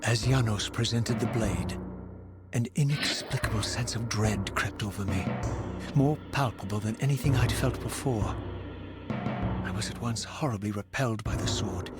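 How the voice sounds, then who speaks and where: A man's voice: on the low side, moderate pace, natural voice. A male actor playing a brooding, bitter undead wraith with grave, eloquent, theatrical delivery in a game cutscene. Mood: haunted dread. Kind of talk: dramatic game cutscene acting and first-person narration. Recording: loud music bed behind voice.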